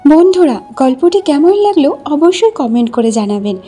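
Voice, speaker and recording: Lady narrating a cartoon story, highish mellow voice, scripted read, light music under. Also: very animated, normal speed.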